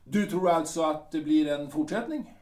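An actor playing an embittered, aging army captain who talks in halting, hesitant phrases in an audio drama. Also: male voice, fairly high pitch, a little mellow, far from the mic, natural voice. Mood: gloomy. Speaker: husband in a radio play. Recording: roomy echo, from off the mic.

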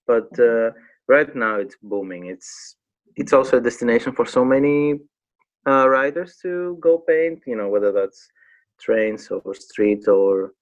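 A man in his thirties from Greece speaks English with a Greek accent at a middling pitch. He talks casually as an interview guest, a little hesitant as he searches for the right words. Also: expressive, unhurried. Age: 30s. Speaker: guest, graffiti artist.

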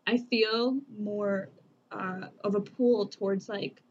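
A young woman from the United States whose first language was Vietnamese, chatting candidly in a relaxed way, with lots of filler words and casual swearing. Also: medium pitch, slow pace, muffled recording, a little hiss or hum. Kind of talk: casual group chat.

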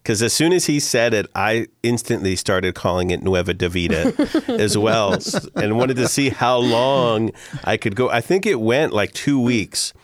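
A man hosting a church podcast, chatty and upbeat, telling stories with quick laughs. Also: mid-range voice, very animated, dry recording, clean studio sound. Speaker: podcast host, church worship leader. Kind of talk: casual church podcast chat.

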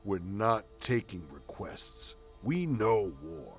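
Man as deep-voiced, stern warband commander. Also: sing-song, slow, soft background music, exaggerated voice. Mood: stern, impatient. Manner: curt, commanding. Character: stern, war-hardened male warband commander. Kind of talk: scripted audio drama acting.

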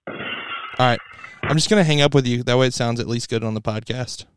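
A man's voice: medium pitch, brisk, slight background noise. A young man hosting a podcast in a laid-back, joking way, swearing freely. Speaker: podcast host. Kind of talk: casual chat.

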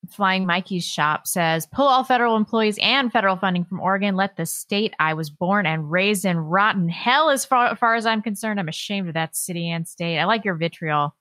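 A woman's voice: mid-range voice, normal speed, hardly any pauses, fairly bright tone. A woman talks casually as co-host of a political livestream. Her sound is close and free of echo. She reads messages aloud and comments in a dry, offhand manner. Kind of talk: casual chat, reading viewer messages aloud.

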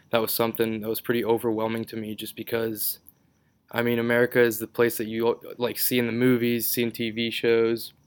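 Smooth-voiced young man, casual and rambling. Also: mid-range voice. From Israel. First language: Hebrew. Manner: relaxed, rambling with many fillers and restarts. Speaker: podcast guest. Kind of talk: casual interview chat.

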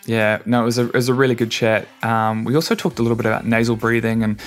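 A man's voice: mid-range voice, fast talker. Brief: laid-back New man, podcast host, casual, faint music underneath. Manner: relaxed, with fillers.

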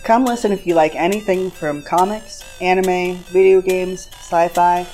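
Lower-pitched woman promoting a podcast over music. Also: steady tone, normal speed, warm tone. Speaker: podcast co-host.

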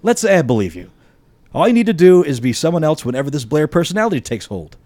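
A man's voice: fairly high pitch, sing-song, fast, a little mellow, dry recording, pitched above the performer's own voice. A man voicing a blunt, irritated, foul-mouthed guy in dialogue from a comic story. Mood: resigned, reassuring. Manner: blunt, exasperated.

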